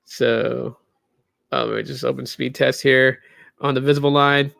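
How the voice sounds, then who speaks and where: A man's voice: mid-range voice, level tone, a little slow, gravelly, fairly bright tone, no room sound, clean studio sound. A tired man in his late thirties chatting loosely with lots of fillers as he hosts a live tech stream from a room in his new home. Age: 30s. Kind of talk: casual live stream chat.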